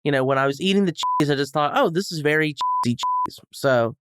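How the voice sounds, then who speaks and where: A man's voice: on the high side, sing-song, brisk, fairly bright tone, smooth, no echo. A man as a podcast guest, chatting casually about food with dry, joking humor. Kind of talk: casual food review chat.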